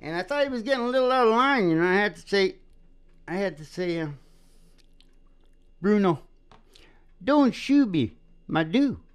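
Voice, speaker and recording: A man's voice: high voice, lively intonation, moderate pace, long pauses, smooth. A man chatting casually and ramblingly between songs as host of a live music show, folksy and often chuckling.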